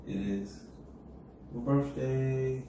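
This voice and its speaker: A man, co-hosting a podcast in a casual, rambling chat at home, keeping his voice low. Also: very slow, noisy background. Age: adult with children. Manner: laid-back, rambling, talks low so as not to wake his sleeping son. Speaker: podcast co-host. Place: room at home. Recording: echoey room, distant mic, music.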